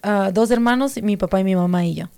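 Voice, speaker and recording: Female guest from Mexico, warm mellow tone, hesitant testimony with frequent pauses. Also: medium pitch, clean tone. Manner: hesitant, many fillers and restarts, mellow. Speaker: interview guest. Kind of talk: personal faith testimony.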